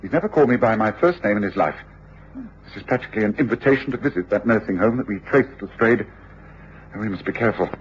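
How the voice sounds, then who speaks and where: An actor playing a shrewd, commanding gentleman detective with an English accent, precise and authoritative, in old radio drama. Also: on the low side, animated, quick pace, natural voice. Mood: grave, urgent. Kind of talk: 1950s-60s radio drama acting. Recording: dull, noisy old radio drama recording.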